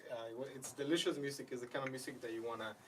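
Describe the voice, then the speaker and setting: A man's voice: mid-range voice, steady tone, fast, a bit bright, distant mic, a little hiss or hum. A man hosting a casual interview, searching for his words and slipping into Spanish phrases. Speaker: host.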